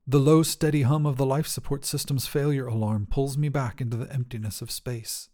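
A man narrating first-person fiction as a desperate, oxygen-starved astronaut, in deliberate, intense, measured phrases. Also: mid-range voice, fairly even intonation, warm tone. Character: desperate oxygen-starved astronaut telling his own story. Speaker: story narrator.

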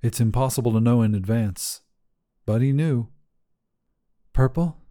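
Man, dark mellow tone, medium pitch, scripted fiction narration, podcast storyteller. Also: fairly even intonation, moderate pace.

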